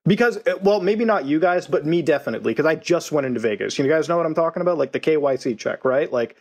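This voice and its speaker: A man's voice: a little high, animated, fast talker, darkish tone. A man arguing heatedly in a podcast debate, talking over the others. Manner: argumentative, talks over others.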